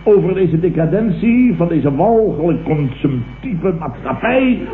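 Blustering, self-important Dutch boss, very lively intonation, vintage radio comedy, tinny sound. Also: male voice, a little high, a little slow. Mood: pompous, self-righteous. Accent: Dutch, from the Netherlands. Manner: pompous, indignant, declamatory, with repeated sputtering interjections. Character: blustering, pompous middle-aged businessman, opportunistically turned leftist. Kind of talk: 1960s-70s radio comedy acting. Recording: tinny, echoey, hissy old radio recording.

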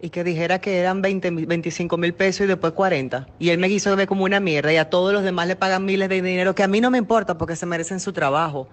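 A woman's voice: fairly low pitch, fast talker, few pauses. A Dominican woman in her thirties talking casually on a phone call, insistent and aggrieved as she complains. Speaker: talk show host. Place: on a talk show set with armchairs.

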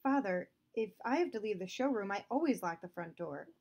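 A woman reading aloud, voicing a principled young brother who questions and insists on the truth, higher than her narration. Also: expressive, fairly quick, darkish tone. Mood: firm. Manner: firm, questioning.

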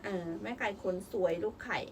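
A young mother reading a picture book aloud with her child, asking encouraging sing-song questions. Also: brisk. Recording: voice picked up from a distance with faint noise.

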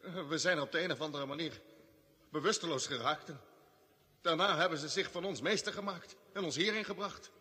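An actor playing a level-headed space expedition leader in a vintage Dutch radio drama. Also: male voice, on the high side, fairly quick, pushed and bright, breathy edge. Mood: purposeful. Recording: old radio recording, long reverb.

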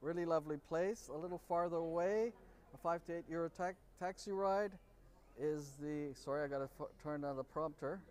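A man's voice: on the high side, a little fast, a little mellow. A man in his forties co-hosting a casual chat in an outdoor bar courtyard, reading from a prompter and stumbling over names. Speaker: podcast co-host.

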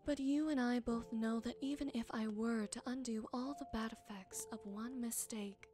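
A woman playing a gentle, wise forest witch in an ASMR roleplay, soothing, unhurried and tender, softly teasing. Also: a little high, steady tone, soft background music, close to an everyday voice. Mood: tender, reassuring.

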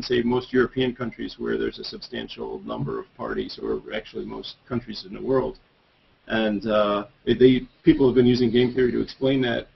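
Gravelly, husky-voiced man explaining. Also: mid-range voice. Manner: measured, explanatory. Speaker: course instructor. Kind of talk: explanatory answer in a Q&A. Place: office with painting on wall. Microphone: webcam mic on video call. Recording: muffled video-call audio.

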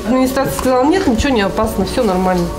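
A woman's voice: on the high side, very fast. Woman resident talking over music. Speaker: resident being interviewed. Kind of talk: casual interview.

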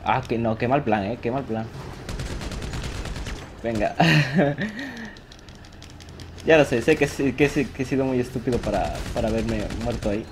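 A man giving lively commentary over his own online game, laughing often and mocking himself when he fails. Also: medium pitch, measured pace, pauses often. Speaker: gaming youtuber. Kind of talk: live gameplay commentary. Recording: game audio noise behind the voice.

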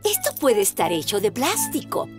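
A woman's voice: mid-range voice, huge pitch swings, moderate pace, soft background music. A woman voicing a sentimental, affectionate aunt in a Latin American Spanish children's cartoon, emotional and gushing. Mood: grateful, tender.

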